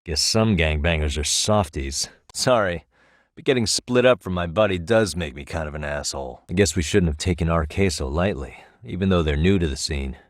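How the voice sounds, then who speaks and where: Male actor as sharp male detective, low pitch, American accent, wry, persuasive, casually confident, close dry sound, game dub. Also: moderate pace, no echo, natural voice. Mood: taunting, sardonic. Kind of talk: English video game dub acting.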